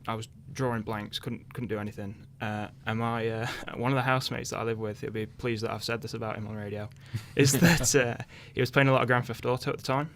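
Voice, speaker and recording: A man's voice: mid-range voice, little pitch movement, fairly quick, few pauses, a bit bright, slight background noise. A young man chatting casually in a radio interview, thinking aloud hesitantly. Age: young adult. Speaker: interviewed radio drama writer.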